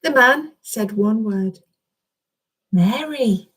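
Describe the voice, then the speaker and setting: A woman's voice: a little high, animated, a little slow. A woman reading a children's story expressively, speaking directly and encouragingly to young listeners.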